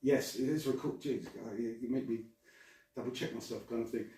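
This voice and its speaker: A man's voice: medium pitch, fairly even intonation, fairly quick, warm tone, recorded from a distance. Chatty man in echoey room. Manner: laughing, rambling, swears casually. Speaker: podcast host. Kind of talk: casual chat.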